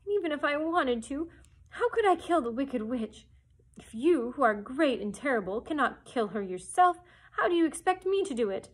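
A woman's voice: sits high, sing-song, normal speed, voice pushed up. A woman voicing a small, meek young girl who pleads earnestly. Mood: pleading. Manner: pleading, tearful.

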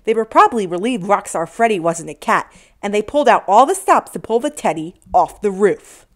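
A woman's voice: medium pitch, expressive, brisk. A woman reading polished scripted narration as a voice-over artist.

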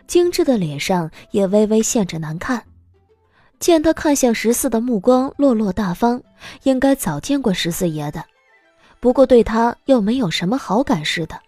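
A woman's voice: on the high side, mellow, dry recording. A woman narrating a scripted audiobook story as the narrator of a drama.

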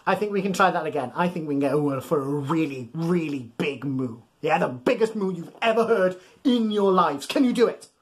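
A man's voice: on the high side, fairly quick, hardly any pauses. A man in his thirties reading a bedtime story to young children in a playful, exaggerated way, coaxing them to join in. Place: small furnished room at home, indoors.

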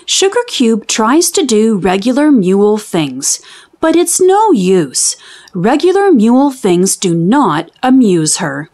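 Animated high-voiced woman reading to children. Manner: deliberate, enunciated teaching delivery. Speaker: children's read-aloud host. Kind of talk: expressive storybook reading for young children.

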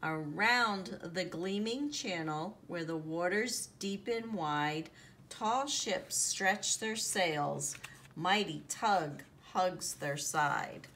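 Very slow-paced woman reading a picture book. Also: on the low side. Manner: expressive, deliberate storytelling with drawn-out sound words. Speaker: teacher reading aloud. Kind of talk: children's picture book read-aloud. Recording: noticeable background noise, home recording.

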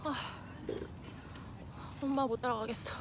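A woman's voice: on the high side, steady tone, a little slow. A young woman narrating a hiking vlog, chatting casually and a little breathless as she climbs a hillside trail with a handheld camera. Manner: breathless chatty remarks while hiking uphill. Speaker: vlogger filming a hike with her mother. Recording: loud outdoor noise.

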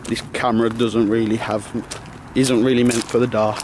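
A man's voice: medium pitch, flat delivery, moderate pace. Slangy young man vlogging outdoors. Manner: slangy, swearing, joking. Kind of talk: casual vlog narration. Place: outdoors at night near old train tracks. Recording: noisy outdoor camera audio.

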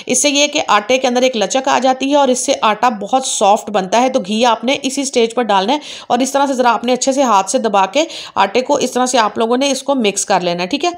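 A woman hosting a home cooking channel, patiently explaining each step and often checking in with viewers. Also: fairly high pitch. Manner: patient, repeats "theek hai" to check in with viewers. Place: home kitchen.

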